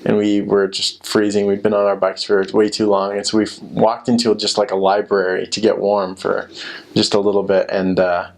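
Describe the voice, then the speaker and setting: A man's voice: on the low side, monotone, moderate pace, vocal fry. A young American man chatting casually and informally about his experiences in a rambling way, full of filler words. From United States. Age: young adult. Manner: rambling, informal, frequent "like" and "you know". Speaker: former missionary sharing experiences. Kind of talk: casual chat.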